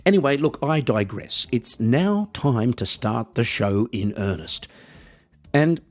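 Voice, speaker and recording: An Australian man hosting a podcast, delivering a wry, rambling, mock-earnest comedic monologue with a husky voice. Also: medium pitch, expressive, slow, soft background music. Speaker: podcast host.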